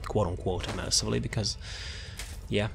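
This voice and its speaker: Low, slightly gravelly man talking through a game build. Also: fairly even intonation, fairly quick, noisy background. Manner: rambling, repeats words and phrases while searching. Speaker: video game build guide creator. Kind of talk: casual, unscripted game build walkthrough commentary. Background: background music.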